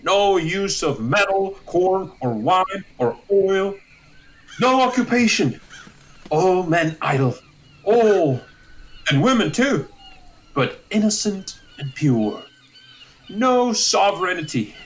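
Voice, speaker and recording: An idealistic old royal counsellor played by a man speaks slowly and grandly, in a rather high voice that swoops about. The delivery is declamatory, theatrical Shakespeare for an audio drama, kindly and dignified. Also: big pitch swings, slight background noise, full character voice, poor audio. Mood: visionary, expansive.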